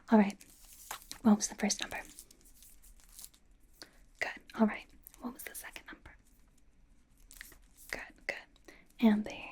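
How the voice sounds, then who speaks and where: A woman performing a whispered ASMR roleplay as a bubbly, doting young pop idol stylist, breathy, playful and reassuring, with chatty asides. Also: mid-range voice, a little mellow.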